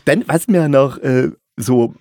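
A man's voice: medium pitch, slow pace, a little mellow, dry recording, clean studio sound. A man hosting an interview in casual chat, talking informally and a little tentatively. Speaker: podcast host, interviewer.

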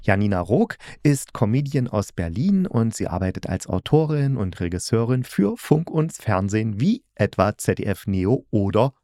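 Low-voiced man chatting on a podcast. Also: sing-song, normal speed, few pauses, warm tone, no room sound, studio quality. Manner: chatty, self-interrupting asides. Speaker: podcast host reviewing audio dramas. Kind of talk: casual solo podcast review.

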